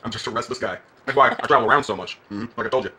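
A man's voice: medium pitch, rapid-fire, pushed and bright, slight background noise. A man playing a restless, joking gas station attendant in a drama scene, with a put-on American Southern accent and a folksy, rambling way of talking.

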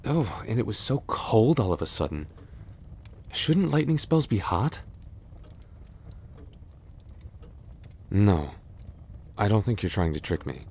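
A man playing a cursed, lovelorn young prince in a roleplay audio drama, halting and formal in his speech. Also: lowish voice, big pitch swings, a little mellow, heavily acted. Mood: startled, puzzled. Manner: halting, breathy sighs and gasps, formal archaic phrasing.

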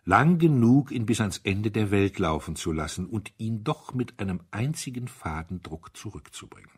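Man reading as a husky, gently cheerful priest. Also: lowish voice, animated. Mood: quiet. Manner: unhurried, solemn, good-humoured. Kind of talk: audiobook character acting.

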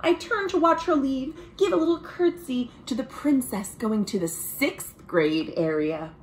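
A woman reads a picture book aloud for young children. Her voice sits fairly high and swings widely in pitch. She takes her time, phrasing each line expressively and clearly for little listeners. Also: animated, measured pace, a little hiss or hum, rough recording. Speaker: children's librarian and storytime host. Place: small room at home, indoors.

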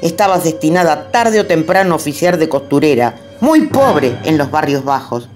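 A woman's voice: deep voice, lively intonation, moderate pace, a little mellow, soft background music. A woman playing a scornful old Rioplatense matriarch. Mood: cruel. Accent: Rioplatense Spanish. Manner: imperious, cutting, contemptuous. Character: haughty elderly grandmother, scornful and domineering. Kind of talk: radio play acting.

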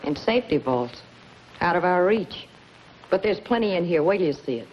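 An actress playing a hard, sardonic gangster's moll with terse talk in a 1950s television crime drama. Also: female voice, fairly low pitch, lively intonation, normal speed, lightly acted. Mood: eager. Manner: tough, terse. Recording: muffled, hissy 1950s TV soundtrack.

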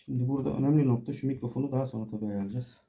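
A man's voice: mid-range voice, racing, creaky, dull audio, a little hiss or hum. A man giving a casual hands-on tutorial, explaining informally step by step as he works. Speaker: DIY tutorial presenter.

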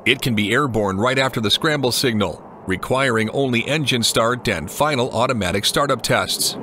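A man reading a scripted documentary narration in an even, measured way. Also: medium pitch, a crowd in the background. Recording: voice-over with clear music behind.

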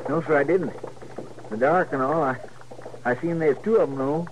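An actor playing a folksy, fussy frontier deputy with a drawling, deferential rural Western accent in 1950s radio drama. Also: male voice, a little high, moderate pace, lightly acted. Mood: earnest. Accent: rural Western American. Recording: noisy vintage radio recording with clicks.

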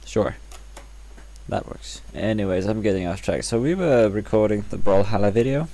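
Soft-spoken rambling man, low voice. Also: lively intonation, normal speed. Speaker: gaming channel co-host. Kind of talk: casual chat while playing.

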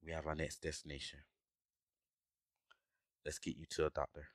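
A man voicing a gruff, protective dragon adventurer in an audio roleplay, talking urgently and with exasperation to his companion. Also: very deep voice, level tone, normal speed, no echo, studio quality. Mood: concerned, protective. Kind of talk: scripted ASMR audio roleplay acting.

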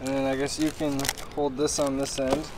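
A man's voice: medium pitch, little pitch movement, moderate pace. A man in his thirties explaining boat wiring steps in a casual, upbeat way, recorded on a camera mic outdoors in a boatyard. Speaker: sailing vlogger. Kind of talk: casual vlog chat. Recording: outdoor camera audio with clear yard noise.

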